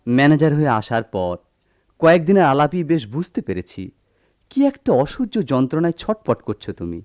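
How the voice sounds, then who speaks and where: A suave suitor, swooping intonation. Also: male voice, fairly high pitch, big pitch swings, brisk, darkish tone, clean tone, no room sound. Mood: romantic yearning. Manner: poetic, theatrical. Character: suave, flowery male suitor, a company manager. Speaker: radio drama actor. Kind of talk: melodramatic radio drama acting.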